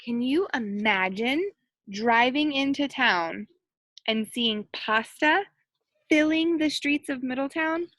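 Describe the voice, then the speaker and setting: A woman with a fairly high voice reads a children's storybook aloud in a close, dry sound. She is animated and breaks off to point things out to her young listeners, like a teacher with a class. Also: slow pace, dry recording, low-quality recording. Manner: animated, acts out quoted lines and points out pictures. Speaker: elementary school teacher reading aloud to children. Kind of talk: expressive picture-book read-aloud with asides to young listeners.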